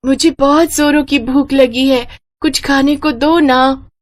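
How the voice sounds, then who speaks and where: Lady doing a little lost girl for a cartoon, high, innocent, kinda plaintive, slight noise. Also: steady tone, slow. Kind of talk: cartoon moral story.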